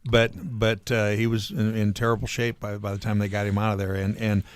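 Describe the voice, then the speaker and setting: A male guest on a radio show, speaking in a halting, reflective way. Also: on the low side, monotone, smooth, dry recording. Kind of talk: casual radio chat.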